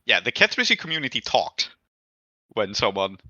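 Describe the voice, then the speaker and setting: A man's voice: fairly low pitch, big pitch swings, moderate pace, sharp tone, dry recording, highs cut off. A man improvising narration as game master in a tabletop roleplaying game, thinking aloud as he goes.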